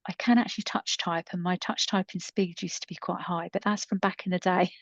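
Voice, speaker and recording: A woman's voice: on the low side, steady tone, brisk. A woman in her fifties co-hosting a podcast, chatting casually in a rambling, self-deprecating way. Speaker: podcast co-host and coach. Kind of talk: casual conversational storytelling. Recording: muffled, roomy echoing recording.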